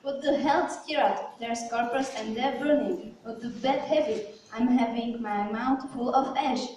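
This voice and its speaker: A woman's voice: a little high, a little slow, darkish tone, natural voice. A woman playing a grumbling, blunt former plowman turned duke in an amateur puppet show. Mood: irritated. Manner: irritable, blunt complaining. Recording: echoey hall, distant mic, background noise.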